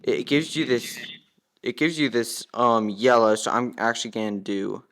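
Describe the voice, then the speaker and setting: A man's voice: mid-range voice, moderate pace, a bit bright. A man giving a casual step-by-step product demonstration in a plain, instructional manner. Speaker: product demonstrator, ham radio operator.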